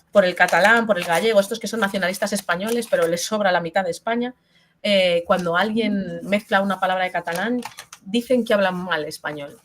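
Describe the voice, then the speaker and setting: A woman's voice: mid-range voice, slight background noise. A middle-aged woman from Spain, an author speaking frankly and bluntly in a webinar interview, with many fillers and restarts, over a video call. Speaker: invited author and linguist.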